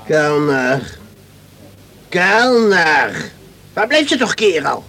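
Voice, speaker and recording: An actor playing a grumpy, demanding English lord with a haughty, complaining manner in a radio play. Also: male voice, on the high side, big pitch swings, drawn-out words, bright, forceful tone, full character voice. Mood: irritated, imperious.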